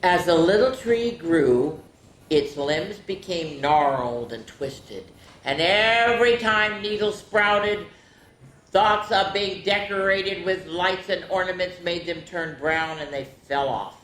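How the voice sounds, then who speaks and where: A woman's voice: fairly low pitch, a bit bright. A woman hosting a storytime, reading picture books aloud for young children and adding chatty asides. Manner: slow, deliberate delivery with chatty asides to listeners. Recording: echoey room with faint background noise.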